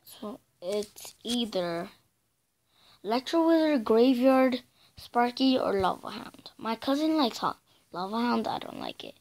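Raspy woman channel host, slow and hesitant, mumbling asides between louder bursts. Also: a little high, huge pitch swings. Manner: halting and filler-heavy, drifting between loud bursts and mumbles. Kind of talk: casual game commentary over a screen recording.

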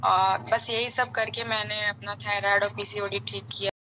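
A woman's voice: medium pitch, level tone, normal speed. A young woman answering questions as a guest on a casual live stream, explaining earnestly with frequent filler words. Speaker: guest sharing her weight-loss experience. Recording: faint background noise on live call.

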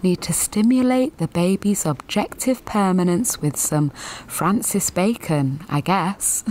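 A woman's voice: on the low side, a little slow, a little mellow, a little hiss or hum, natural voice. An actress in her twenties playing a jaded, sweary, sensitive gallery attendant in a confessional audio drama monologue, wry and self-deprecating. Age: 20s.

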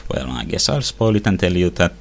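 A man slowly thinking aloud with many hums and pauses while playing a video game. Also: sits low, whispered. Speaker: let's play host. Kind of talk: casual gameplay commentary.

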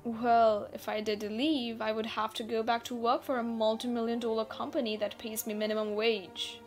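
A woman's voice: a little high, little pitch movement, moderate pace, close to an everyday voice. A woman acting an easygoing, teasing gamer captive in an audio roleplay, speaking in a playful, nonchalant, conversational way. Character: easygoing, teasing female gamer held captive, unbothered adult. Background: background music.

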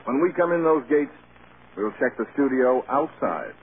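Sardonic movie star, tinny old radio. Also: male voice, medium pitch, very animated, normal speed, slight background noise. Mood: tender. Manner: wry, teasing. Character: fading male movie star, sardonic, charming, proud newlywed. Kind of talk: vintage American radio drama acting.

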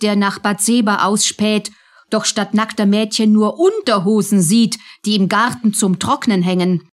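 A woman narrating a German radio play in a scripted, storytelling style. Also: mid-range voice.

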